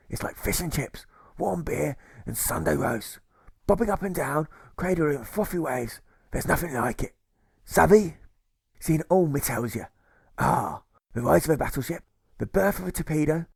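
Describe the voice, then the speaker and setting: A volatile old sailor turned jailer from an audiobook, with a stage pirate accent. The voice is pushed high and creaky, swinging widely in pitch. He blusters and barks in salty, exclamatory slang. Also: animated, a little slow, no room sound, clean studio sound, exaggerated voice. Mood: wistful.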